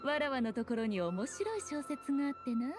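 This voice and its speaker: High-voiced, haughty fox shrine maiden. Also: female voice, very animated, fairly quick, over music. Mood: playful. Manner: archaic, aloof, playful lordly speech. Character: elegant, teasing, haughty fox shrine maiden of great age. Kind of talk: Japanese anime game voice acting.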